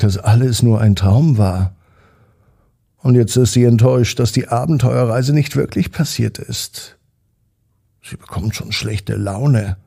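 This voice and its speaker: A man with a German accent narrating a bedtime story for children in a calm, gentle way, speaking straight to the young listeners. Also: medium pitch, gravelly, breathy edge.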